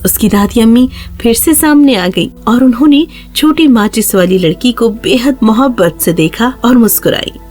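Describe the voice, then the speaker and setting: A woman's voice: fairly high pitch, animated, few pauses, a little mellow. A woman narrator reading a scripted children's fairy tale in a storytelling style.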